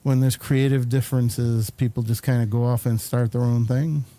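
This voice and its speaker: A man hosting a casual talk show, speaking in a hesitant, halting way. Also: medium pitch, flat delivery, mellow. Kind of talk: casual conversational interview.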